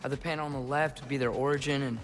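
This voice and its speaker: A man's voice: medium pitch, level tone, very fast, a little hiss or hum, close to an everyday voice. A young man playing an eager, inquisitive teenage warrior's son in a video game, earnest. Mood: earnest, curious. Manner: earnest, explanatory, youthful. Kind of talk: video game cinematic acting.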